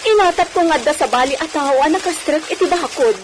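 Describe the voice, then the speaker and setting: An actress with an Ilocano accent playing an anxious, pleading wife in a melodramatic radio drama. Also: female voice, high voice, a little fast, noisy background, lightly acted. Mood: worried.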